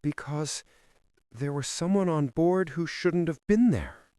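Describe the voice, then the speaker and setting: A man's voice: a little high, mellow, clear, resonant tone, dry recording, clean studio sound, voice pushed up, close to an everyday voice. A man voicing a nervous, guilt-ridden woman in her twenties in a mystery story, speaking hesitantly. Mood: nervous confession. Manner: hesitant, halting.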